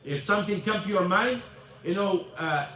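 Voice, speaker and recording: High-voiced imam explaining stuff in broken english, roomy hall, mic far off. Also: male voice, moderate pace, bright, forceful tone. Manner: non-native English with broken grammar, repeats himself. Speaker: imam teaching a class. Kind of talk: informal religious lesson. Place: mosque hall.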